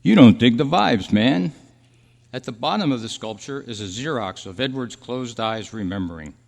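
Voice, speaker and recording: Male, earnest measured radio-play reader a man acting, medium pitch, big room reverb. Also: expressive, vocal fry, a little mellow. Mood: wistful, earnest. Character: Roy, dead thirty-three-year-old man's wistful, peaceful spirit. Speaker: actor and announcer. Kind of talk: staged radio drama reading. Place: live club meeting in a hall. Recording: echoey hall with long reverb.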